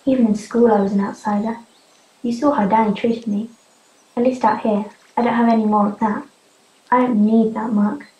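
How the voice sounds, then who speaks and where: A hurt, defiant teenage runaway girl, acted by a young woman, speaks earnestly in a fairly high voice. It is stage drama, caught in a muffled, roomy amateur recording. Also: steady tone, normal speed, mellow, close to an everyday voice. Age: young adult. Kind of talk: youth theatre stage drama acting.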